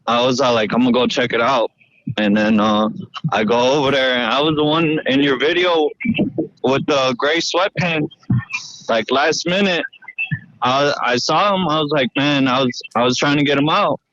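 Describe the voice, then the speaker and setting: A man on a phone call, recounting what he saw in a halting, repetitive, slangy way. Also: mid-range voice, fairly bright tone, dry recording. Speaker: eyewitness phone caller. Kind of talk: casual recounting.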